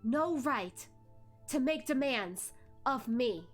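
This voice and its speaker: Haughty female phoenix immortal performed by a woman reader, shrill, indignant and high-pitched, web-novel read-aloud, music underneath. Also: animated, takes it slowly, full character voice. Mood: cold fury. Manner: shrill, indignant, spitting out syllables.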